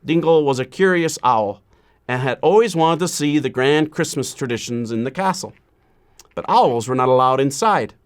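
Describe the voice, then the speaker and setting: A man with a medium-pitched voice reads a children's storybook aloud. He phrases deliberately and leaves frequent pauses, in the manner of a measured story-time reading.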